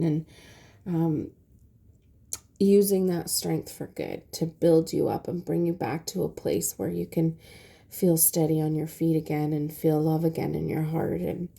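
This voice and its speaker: Soft-spoken stuffy-nosed woman reading tarot. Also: deep voice, vocal fry. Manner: soft, hesitant, many ums. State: stuffy nose. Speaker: tarot reader.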